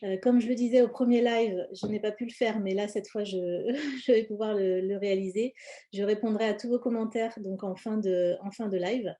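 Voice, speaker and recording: A woman from France gives an informal explanatory live presentation, speaking hesitantly and often pausing mid-phrase. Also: mid-range voice, fairly even intonation, warm tone. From born in India, grew up in France. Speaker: presenter, cosmetics brand founder.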